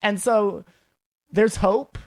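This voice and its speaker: A woman's voice: medium pitch, steady tone, extremely slow. A woman taking part in a casual group discussion as one of the panel. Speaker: panel participant.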